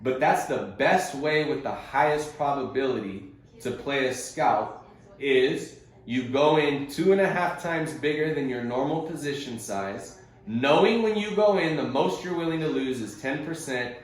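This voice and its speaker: Male, mid pitch, informal coach, slangy explanations, conference call, heavy echo. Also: expressive, normal speed. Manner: casual and conversational, uses slang like bro and bud. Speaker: host and trading coach on a group conference call. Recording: very echoey group conference call audio.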